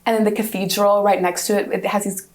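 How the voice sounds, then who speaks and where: A young woman, a native Hungarian speaker, chats as an interview guest. Her voice sits at a middle pitch, and she talks with enthusiasm. Her answers ramble a little, with restarts and small pauses as she gathers her thoughts. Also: level tone. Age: young adult. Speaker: guest, study-abroad student.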